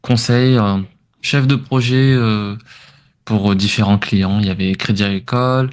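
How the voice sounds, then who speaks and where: Low-voiced Frenchman explaining informally. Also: steady tone, a little slow, clear, resonant tone. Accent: French from France. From France. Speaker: podcast guest, entrepreneur. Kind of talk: conversational interview answers.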